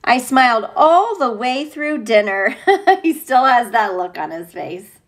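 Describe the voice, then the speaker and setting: A woman teacher reading a picture book aloud to young children, expressive and playful, with gushing, chatty asides. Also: a little high, slow.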